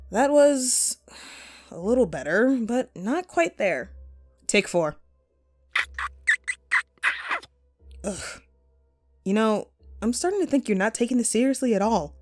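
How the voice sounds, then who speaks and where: A woman's voice: fairly high pitch, expressive, slow pace, long pauses, faint music behind. A woman playing a vengeful, sadistic jilted actress in a horror audio roleplay, mocking and theatrical, with menacing playfulness. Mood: exasperated, mocking.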